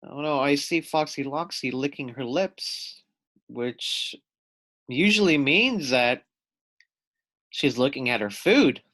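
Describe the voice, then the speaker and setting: Animated man reading aloud to children. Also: mid-range voice, slow pace, pauses often. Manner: expressive, addresses young listeners directly. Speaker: read-aloud teacher. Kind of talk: storybook read-aloud with questions for children.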